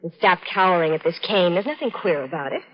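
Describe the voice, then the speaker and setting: An actress playing a scheming, composed fiancée, deliberate and theatrical, in an old-time radio suspense drama. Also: female voice, lowish voice, sing-song. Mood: cold, calculating. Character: scheming fiancée, sweetly coaxing, coolly calculating. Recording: dull, lo-fi old radio recording.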